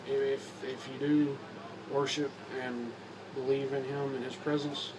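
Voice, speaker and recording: A young man from Kentucky with a Southern accent, a bit hoarse from a cold, rambling in a casual talk to camera with many restarts and fillers. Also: mid-range voice, little pitch movement. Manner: rambling, halting with repeats and fillers. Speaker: vlogger. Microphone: phone or camera mic. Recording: distant camera mic with background noise.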